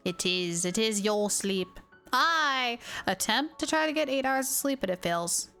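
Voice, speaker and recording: A woman's voice: a little high, expressive, measured pace, bright, forceful tone, clear, resonant tone, soft background music. A woman livestreamer giving playful, casual game reaction commentary, repeating phrases for emphasis.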